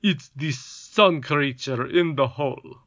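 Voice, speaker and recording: A man's voice: a little high, takes it slowly, fairly bright tone, dry recording, lo-fi sound. A man voicing a pompous, solemn goblin king in a storybook reading, with a stately delivery.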